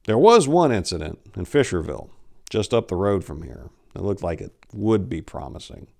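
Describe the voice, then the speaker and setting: A man in his forties narrates a creepy tale in a fairly low voice. His delivery is theatrical and expressive, like a staged dramatic reading. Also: normal speed, vocal fry. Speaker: story reader. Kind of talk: dramatic reading of a horror short story. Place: indoors, book-lined room. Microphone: lapel.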